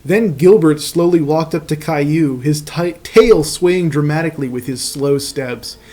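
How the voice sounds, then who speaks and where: A man's voice: on the high side, a little slow, dark tone. A man reading fan fiction aloud as host, in a comic narration with profane, mocking casual asides.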